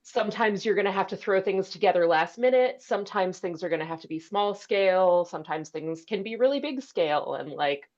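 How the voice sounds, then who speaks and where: A woman's voice: medium pitch, animated, a little fast, few pauses, a little mellow. A woman speaking casually on a librarians' panel, sprinkling in "like", on slightly dull audio. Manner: casual, frequent "like". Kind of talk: informal panel discussion.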